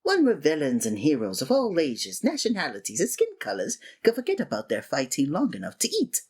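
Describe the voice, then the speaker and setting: A woman performing a scripted villain roleplay, breathy and theatrical, exasperated and swinging abruptly between registers. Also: sits low, wildly swooping pitch, fairly quick, a little mellow. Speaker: ASMR voice actor playing a villain.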